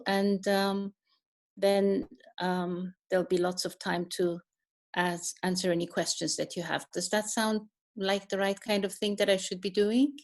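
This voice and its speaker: Middle-aged woman from Iran, slow unhurried delivery, online talk over a video call, thoughtful, many fillers and restarts. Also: mid-range voice, a little mellow. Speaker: guest speaker, neuroscientist.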